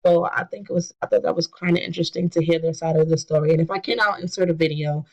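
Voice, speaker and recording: A young woman presents her class essay while stuffy from a cold. Her voice has a gravelly edge, and she stumbles through restarts and fillers. The recording sounds dull and lo-fi. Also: deep voice, fairly even intonation, quick pace, darkish tone. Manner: hesitant, many fillers and restarts. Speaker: student presenter.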